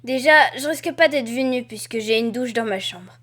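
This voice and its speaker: A woman playing an expressive, excitable young girl who poses as a male student, in an anime fan dub. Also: on the high side, moderate pace, lightly acted. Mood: resolute, musing. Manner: expressive, gushing and exclaiming.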